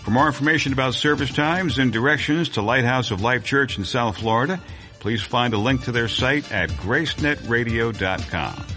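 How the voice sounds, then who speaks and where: A man reading a scripted radio program announcement in a smooth, even read. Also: medium pitch, moderate pace. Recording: voice-over with music bed.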